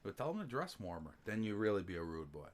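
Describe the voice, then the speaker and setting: A man's voice: lowish voice, big pitch swings, fast. A man hosting a podcast and talking about making beats, laid-back, swearing casually and laughing often. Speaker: podcast host, beatmaker. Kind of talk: casual chat.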